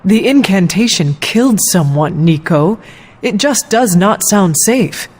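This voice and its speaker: A woman's voice: medium pitch, expressive, darkish tone, slightly rough. Formal, wary warrior woman. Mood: wary, concerned. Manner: formal, measured. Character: earnest, wary, formal demon-born warrior. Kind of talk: video game voice acting. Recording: music bed behind the voice.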